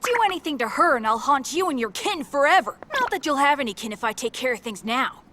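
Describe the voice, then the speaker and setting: High, playful little cat spirit. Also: female voice, expressive, fast talker, background noise. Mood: calm menace. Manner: teasing, breezy, cutesy. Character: small magical talking cat spirit, playful, teasing. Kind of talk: English anime dub acting. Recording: music behind the voice.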